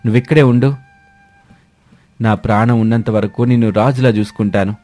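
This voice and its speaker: A man's voice: on the low side, steady tone, normal speed, dark tone, faint music behind, lightly acted. A man voicing a kind, hardworking poor village brother, tender and reassuring, in a story. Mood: comforting.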